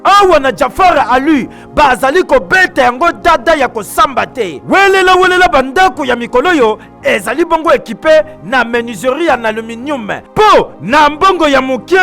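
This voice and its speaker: A male ad announcer pitches in a very high, gravelly voice. His tone is bright and punchy, and his delivery rolls in a hyped, sing-song way. A faint music bed runs underneath. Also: expressive, moderate pace, few pauses. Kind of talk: radio-style advertisement.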